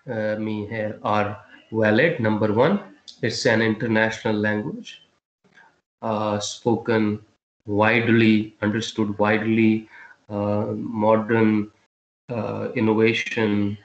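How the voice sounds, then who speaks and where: Male teacher, low voice, halting classroom delivery, echoey room. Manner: halting, many fillers and restarts, quizzes students. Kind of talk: classroom lesson with questions.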